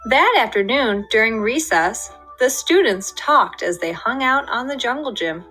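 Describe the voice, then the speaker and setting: Bright woman reading a storybook. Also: on the high side, a little slow, slightly rough, soft background music. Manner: lively, expressive storytelling with voiced character lines. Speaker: storybook reader. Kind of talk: children's read-aloud narration.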